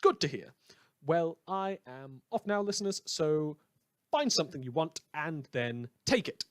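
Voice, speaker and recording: A man's voice: a little high, lively intonation, unhurried, no room sound, clean studio sound. A man playing a villainous, anarchic radio host who tells stories in a theatrical, dramatic scripted monologue. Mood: gleeful, anarchic. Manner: theatrical, storytelling with dramatic emphasis.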